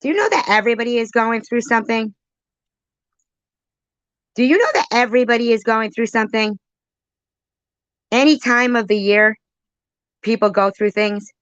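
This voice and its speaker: A woman's voice: on the high side, moderate pace, long pauses, fairly bright tone, clear, resonant tone, no room sound, muffled recording. A woman doing casual reaction commentary in an accusatory, sarcastic way. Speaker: commentary video host. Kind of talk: casual reaction commentary on a live stream clip.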